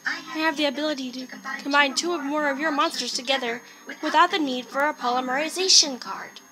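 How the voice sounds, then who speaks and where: A woman playing a calm, solemn spirit guardian who speaks formally in an English anime dub. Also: sits high, brisk, lightly acted. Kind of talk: English-dubbed anime acting. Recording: TV playback filmed, music behind.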